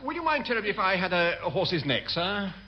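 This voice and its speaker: An actor playing a prissy, petulant British navy officer in a classic radio sitcom. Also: male voice, high-pitched, big pitch swings, brisk, highs cut off, background noise. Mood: polite. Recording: old radio comedy recording, studio audience.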